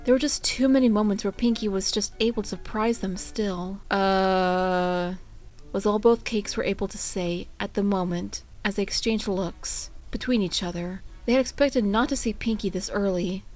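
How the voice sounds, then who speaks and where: A woman reading fan fiction aloud as an expressive storybook narrator. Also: mid-range voice, normal speed, few pauses. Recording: music under the voice.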